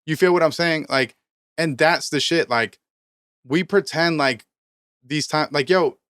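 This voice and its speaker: A man's voice: on the high side, unhurried, a bit bright, clear, resonant tone, no room sound, studio quality. A thirty-year-old man co-hosting a music business podcast in casual chat, ranting emphatically and swearing often. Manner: emphatic, profane, preachy motivational rants. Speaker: podcast co-host, rapper.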